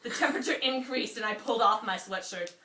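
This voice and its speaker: A woman's voice: on the high side, quick pace, bright, forceful tone. A woman reading a story aloud informally, as an amateur, prone to giggly asides. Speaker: amateur reader. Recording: roomy echo, faint hiss.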